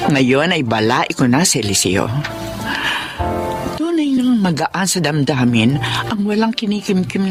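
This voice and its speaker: A woman playing a gentle, repentant mother in a Filipino radio drama, speaking earnestly and with emotion. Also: sits low. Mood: calm, reflective. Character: repentant mother telling her life story, gentle and tearful.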